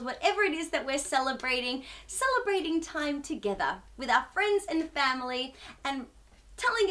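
A woman's voice: sits high, pushed and bright. A woman in her thirties hosting a children's storytime, reading aloud and chatting expressively in a sing-song way to young children. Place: small furnished living room, indoors.

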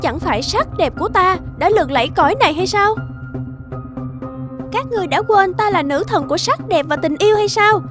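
High, haughty goddess. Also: female voice, animated, fairly quick. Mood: boastful. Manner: haughty, insistent. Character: vain, proud, squabbling goddess in a myth cartoon. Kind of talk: animated myth dialogue. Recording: music behind the voice.

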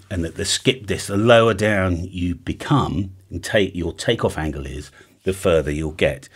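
A man in his fifties with a very low-pitched voice presents a technical explainer in an informal way. He talks conversationally, dropping in emphatic asides and checking that the listener follows. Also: fairly bright tone. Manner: conversational, emphatic asides and check-ins like "all right" and "okay". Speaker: amateur radio video presenter. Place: indoor home studio.

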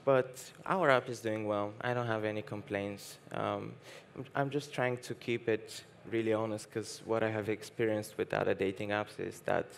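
A man's voice: lowish voice, fairly even intonation, normal speed, creaky, hardly any pauses, slight background noise. A young man from Bulgaria speaking frankly on a conference panel, with many hesitations and restarts. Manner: frank, hesitant restarts, laughs while talking. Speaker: panelist, dating app founder. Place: stage at a conference hall.

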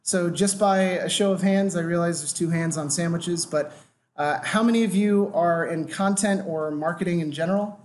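Man, American accent, lecture talk, casual, slightly high voice. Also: normal speed, slight background noise. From Washington, D.C., USA. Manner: casual, loose, frequent "uh" fillers. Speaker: guest lecturer on growth hacking. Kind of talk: conference talk to a live audience.

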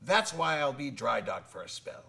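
A weathered old sea captain in a theatrical radio drama. His voice is heavily creaky and gravelly, with a bright, forceful edge. He talks in folksy, fatherly sailor fashion, weary and kindly, with lively swings in pitch. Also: moderate pace, heavily acted. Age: older. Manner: salty old-sailor talk, calling listener laddie.

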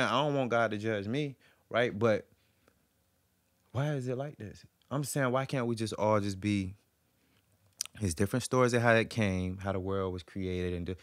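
A man's voice: on the low side, no echo. A young man chatting casually as a podcast guest, rambling in slang and repeating himself, close on the mic. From Virginia, US. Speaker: podcast guest, recording artist. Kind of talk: casual chat. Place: small podcast room with couches.

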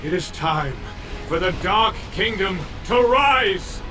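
An actor voicing a fanatical demon lord in a game, proclaiming in a theatrical, pompous way. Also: male voice, high voice, lively intonation, slow. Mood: fanatical, grandiose. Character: grandiose, fanatical demon lord and dark messiah. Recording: distant voice under clear music.

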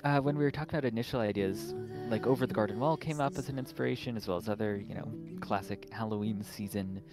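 A man's voice: fairly low pitch, fast talker. A man talking casually as a game developer in an online interview, often chuckling as he goes. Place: online voice call. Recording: music playing under voice. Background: plucked guitar music.

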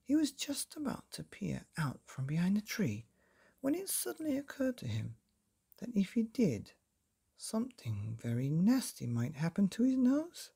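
A man reading a children's book as an expressive bedtime storyteller, deliberately and with dramatic pauses. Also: high voice, gravelly, husky.

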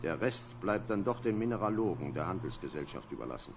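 An actor playing a gruff, weary space lieutenant in a vintage science fiction radio play, commanding and dryly grumbling. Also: male voice, sits low, sing-song, fairly quick, slight background noise, exaggerated voice. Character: gruff, weary male lieutenant leading an asteroid survey. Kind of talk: vintage German sci-fi radio play acting.

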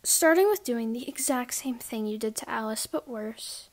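A woman voicing a menacing young female bully pony in an amateur cartoon drama, taunting and drawing out her words. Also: on the high side. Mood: threatening. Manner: taunting, drawn-out. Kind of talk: amateur animated audio drama.

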